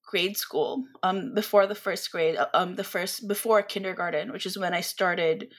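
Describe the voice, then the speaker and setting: A woman's voice: on the low side, normal speed. Chatty woman from the Philippines. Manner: chatty and animated, with many fillers and laughs. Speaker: podcast guest. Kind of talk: casual conversational interview.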